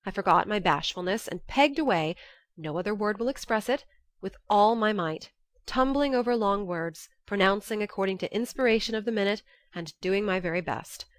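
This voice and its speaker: A woman reading scripted first-person literary narration for an audiobook, telling it in a measured, expressive way. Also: mid-range voice, dry recording.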